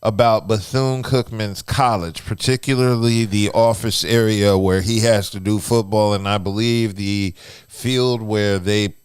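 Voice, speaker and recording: A man co-hosting a podcast, talking things through steadily with lots of fillers, a naturally loud and booming voice close on a studio mic. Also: a little slow, clean tone, no room sound. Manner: steady explanatory talk with frequent fillers. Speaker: podcast co-host. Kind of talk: casual podcast discussion. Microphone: close radio-style studio mic.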